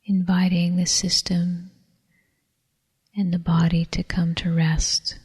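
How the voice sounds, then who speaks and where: A woman leading a guided meditation in a soft, whispery, breathy voice, calm and soothing, with long unhurried pauses. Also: fairly low pitch. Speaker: meditation teacher. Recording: long reverberant hall echo.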